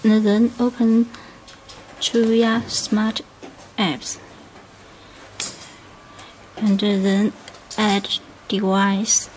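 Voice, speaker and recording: A woman demonstrating a product step by step without a script, speaking in short, halting fragments. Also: medium pitch, monotone, darkish tone. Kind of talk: unscripted step-by-step product tutorial. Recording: noisy room with clicks.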